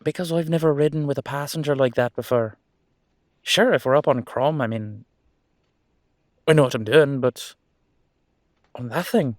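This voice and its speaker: A man's voice: medium pitch, moderate pace, long pauses, dry recording, clean studio sound, close to an everyday voice. A man playing a cheeky, easygoing immortal headless horseman in a roleplay audio drama, talking in a casual, rambling, reassuring way. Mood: reassuring, slightly nervous.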